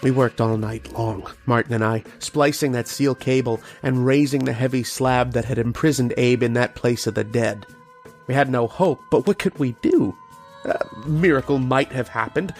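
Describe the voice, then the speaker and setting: A man playing a grave, haunted archaeologist in old-time radio horror, with dramatic, deliberate delivery. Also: mid-range voice, lightly acted. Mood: grim narration.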